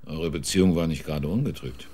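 A dry, persistent private detective in a German radio drama. His very low, husky voice asks short, probing questions. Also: a little fast, dark tone.

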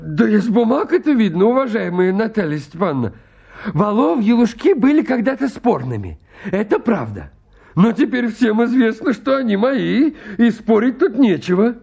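A man playing an excitable, pedantic, stubborn landowner suitor in a radio farce, arguing with fussy politeness. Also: high-pitched, normal speed, vocal fry, husky, lo-fi sound, heavily acted. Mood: indignant, insistent. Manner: fussily polite, pleading, argumentative. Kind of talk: Russian radio comedy acting.

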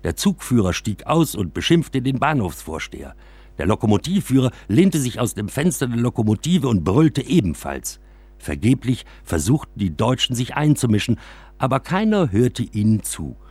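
A man reading a scripted literary story for radio as a first-person narrator, with expressive storytelling. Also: mid-range voice, normal speed, breathy edge, dry recording.

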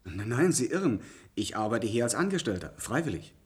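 Fast-talking, defensive young doctor played by a man. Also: mid-range voice, very animated, natural voice. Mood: earnest, defensive. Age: young adult. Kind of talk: German science-fiction radio drama acting.

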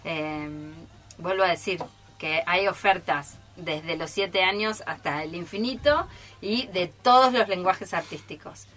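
Mujer argentina de voz brillante. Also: female voice, lowish voice, measured pace, fairly bright tone. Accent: Argentine Spanish. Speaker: theatre workshop teacher. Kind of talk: casual radio chat. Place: radio studio, live broadcast.

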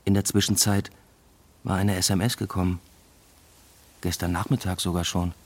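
Brooding dad narrating a radio play, really low husky voice, thoughtful and literary. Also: male voice, level tone, moderate pace. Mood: brooding, reflective. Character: brooding, evasive father narrating his own story. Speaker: narrator and lead character. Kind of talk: literary first-person radio drama narration.

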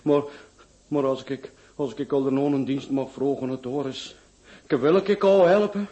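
An actor playing a poor, timid drunken apple peddler, stammering and pleading in a radio play. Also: male voice, mid-range voice, moderate pace, mellow, lightly acted. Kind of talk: radio drama acting.

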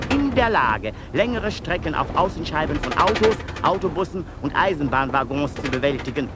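A man's voice: very noisy, natural voice. An actor playing a male asylum patient who lectures obsessively on beetles, in a pedantic, sing-song manner, in a 1990s radio play. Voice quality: pedantic, lecturing, with sudden pitch swings. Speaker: patient narrator.

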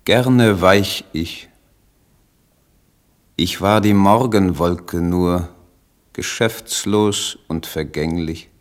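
A mad, melancholy poet in a radio drama, withdrawn into reverie. The voice is deep and extremely slow, lyrical and halting as it broods. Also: male voice. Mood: melancholy, lost. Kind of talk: German radio play acting.

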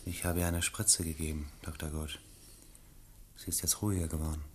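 A man acting as a composed chief physician in a German radio mystery, speaking in a deliberate, clinical manner. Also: a bass voice, flat delivery, a little mellow, a little hiss or hum. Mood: grave, clinical. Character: composed male chief physician giving a careful diagnosis.

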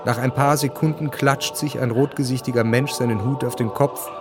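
A man narrating a scripted radio drama in a measured, deliberate way. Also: mid-range voice, fairly even intonation. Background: music.

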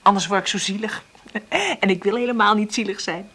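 A husky, breathy middle-aged woman in a naturalistic radio play. She is an insecure, tender mother who hesitates and corrects herself, often half-whispering as if thinking aloud. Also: medium pitch, steady tone, a little fast, a bit bright. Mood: tender, evasive. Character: insecure, struggling divorced mother, tender and nervous. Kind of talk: naturalistic radio play acting with intimate monologue.

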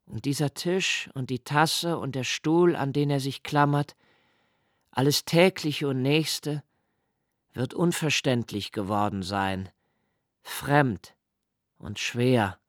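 An actor as a tormented, brooding male writer in a radio play, close and dry. He speaks very slowly and haltingly, weighing each phrase and leaning hard on certain words as he confesses his dread. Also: mid-range voice, no room sound, lightly acted. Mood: grave, intense. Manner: slow, deliberate, halting phrasing with intense emphases. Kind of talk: literary radio play monologue.

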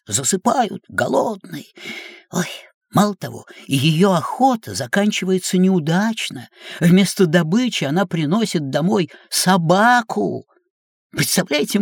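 A woman's voice: mid-range voice, gravelly, dry recording, studio quality. A woman playing a kindly, encouraging teacher who guides children through stories in a scripted educational audio drama. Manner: expressive, encouraging.

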